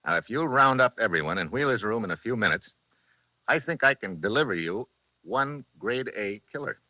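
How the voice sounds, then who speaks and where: An actor playing a tough, wry American investigator in a clipped, matter-of-fact 1940s radio drama style. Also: male voice, fairly low pitch, animated, moderate pace, bright, forceful tone, slight background noise. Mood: confident, accusing. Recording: muffled vintage 1940s radio recording.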